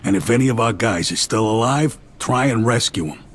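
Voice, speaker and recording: An actor playing a gruff, middle-aged American mobster in a video game, giving orders in a matter-of-fact way. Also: male voice, medium pitch, brisk, a little hiss or hum, natural voice. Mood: grave, commanding. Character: middle-aged mob underboss, gruff and businesslike. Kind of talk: video game cutscene acting.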